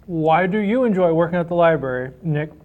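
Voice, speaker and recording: A man being interviewed, chatting casually in an informal, halting, wry way and telling anecdotes. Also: a little high, lively intonation, narrow, tinny audio. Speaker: library director, interview guest.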